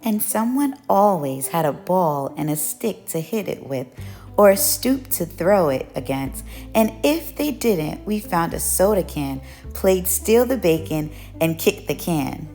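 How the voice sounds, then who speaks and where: A woman reading a picture book aloud as a storyteller, with expressive, measured narration. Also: fairly low pitch, soft background music. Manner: measured storytelling, acts out children's quoted calls.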